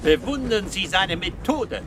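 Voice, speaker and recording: Condescending, animated psychoanalyst, deliberately paced. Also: male voice, sits high, background noise. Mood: amused, assured. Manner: condescending, lecturing, deliberate with rhetorical tags. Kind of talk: German radio drama acting.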